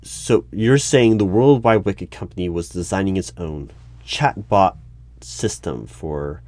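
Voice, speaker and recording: A man playing an awkward, officious hiring manager in an ASMR interview roleplay, speaking formally with halting stammers and over-polite phrasing. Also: low-pitched, darkish tone, a little hiss or hum. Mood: puzzled, probing. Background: computer keyboard typing.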